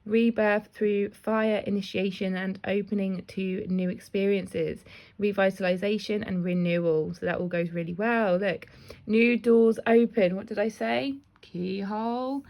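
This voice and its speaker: A woman with a slightly croaky voice gives a casual spiritual card reading, chatty and self-deprecating. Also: mid-range voice, fairly even intonation, unhurried. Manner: chatty, warm asides, often laughs at herself. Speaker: intuitive card reader.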